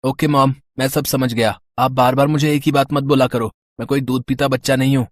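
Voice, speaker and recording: A man's voice: medium pitch, level tone, brisk, dry recording, studio quality, close to an everyday voice. A man voicing a spoiled, rude rich boy in a moral story, bratty and scornful. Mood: irritated.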